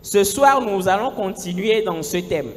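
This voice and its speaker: A high-pitched man preaches with lively swings of pitch, pressing each phrase hard. His handheld microphone carries him into a large church hall, where the sound trails off in a long echo. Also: unhurried. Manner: emphatic preaching, rising to exclamations. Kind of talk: sermon delivered in two languages, line by line.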